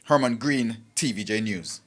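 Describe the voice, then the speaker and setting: Mid-pitched Jamaican male news reporter. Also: moderate pace, noisy background.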